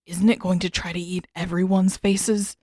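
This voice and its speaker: A woman's voice: on the low side, little pitch movement, moderate pace, warm tone, dry recording, studio quality, natural voice. A woman playing a determined teenage prince in a storybook, speaking in an earnest, matter-of-fact way. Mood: concerned.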